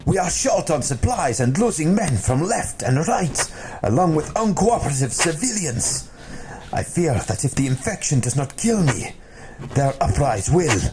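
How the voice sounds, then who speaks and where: A man's voice: fairly high pitch, sing-song, moderate pace, noisy background. A young man in an amateur radio drama playing a stern male commanding officer who gives formal, clipped orders. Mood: weary.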